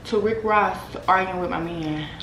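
A woman's voice: on the low side, normal speed, reverberant, noisy background. A young woman chatting candidly to her viewers and her dog in a casual vlog. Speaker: vlogger. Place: apartment rooms and a parked car.

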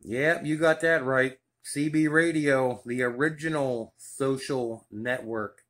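A man's voice: mid-range voice, fairly even intonation, takes it slowly, a bit bright, a little hiss or hum. A man chatting casually in CB lingo as a radio operator.

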